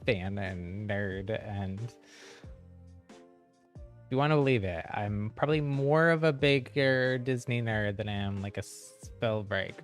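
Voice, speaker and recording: A man's voice: fairly low pitch, slow, faint music behind. A man hosting a livestream, chatting casually to viewers in a rambling, giggly way. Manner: rambling, frequent giggles mid-sentence. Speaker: live streamer and channel host.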